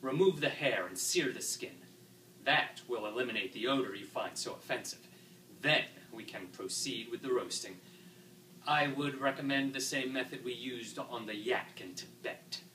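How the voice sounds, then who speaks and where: A man's voice: medium pitch, pushed and bright, natural voice. A man playing a wily, bossy old cook who savors and croons his food in a storybook reading. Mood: brisk, persuasive. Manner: deliberate, savoring, crooning lists. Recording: echoey room, distant mic, background noise.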